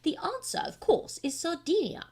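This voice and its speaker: A lofty storybook king, acted out by a woman, pompous and full of himself. The voice is lifted high and declaims every phrase grandly. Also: very animated, moderate pace, a little mellow.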